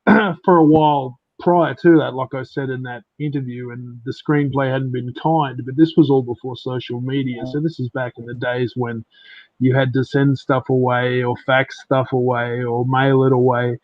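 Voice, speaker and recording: An Australian man speaks as a podcast guest at a middling pitch, telling his story in a measured, casual manner. The sound is dry and a little dull, like a lo-fi internet connection. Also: steady tone, no echo.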